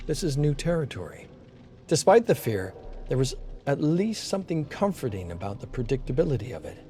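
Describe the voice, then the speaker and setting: A man's voice: mid-range voice, very animated, brisk, warm tone. Male story narrator over music. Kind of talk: scripted dramatic story narration.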